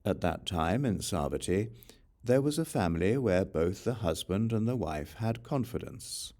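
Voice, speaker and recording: A man reading scripture as an audiobook narrator, in a measured, formal, scripted recitation. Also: fairly low pitch, lively intonation, mellow.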